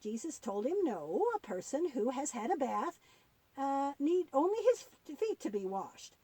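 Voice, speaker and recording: A woman voicing a kindly, patient old granny puppet who tells Bible stories to children in a halting, gently instructive way. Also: high voice, sing-song, dry recording. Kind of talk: puppet-show storytelling for children.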